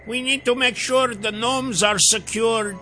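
A man voicing a grave, cautious part-elf wizard in a storybook, speaking in measured, formal warnings. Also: extremely high pitch, fairly even intonation, sharp tone, faint music behind, voice pushed up, full character voice. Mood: urgent, cautioning.

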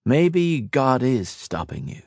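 A man's voice: medium pitch, big pitch swings, slow pace, vocal fry, darkish tone, dry recording, clean studio sound, voice pushed up, full character voice. A man voicing a defiant young hero who answers bluntly and challenges his enemy.

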